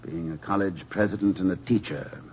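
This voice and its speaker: An actor playing a gentle, wry, middle-aged college president and devoted husband in old-time radio drama, articulate but hesitant. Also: male voice, low voice, steady tone, brisk, breathy edge. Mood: bitter disappointment. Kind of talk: 1950s American radio comedy-drama acting. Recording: dull old radio broadcast recording.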